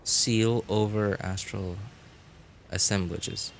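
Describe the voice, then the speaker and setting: A man giving casual live gameplay commentary, thinking aloud in a halting way with sarcastic asides. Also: lowish voice, steady tone, slight background noise. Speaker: game streamer.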